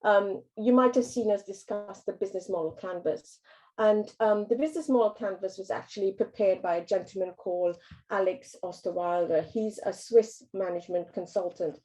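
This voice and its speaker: Woman with a mellow voice. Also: mid-range voice, moderate pace, poor audio. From Trinidad and Tobago. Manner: hesitant, frequent ums and restarts. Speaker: business workshop presenter. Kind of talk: online business workshop talk.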